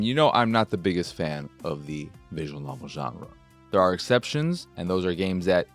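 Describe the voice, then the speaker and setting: A man giving an opinionated video game review in a blunt, exasperated, sweary manner. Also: medium pitch.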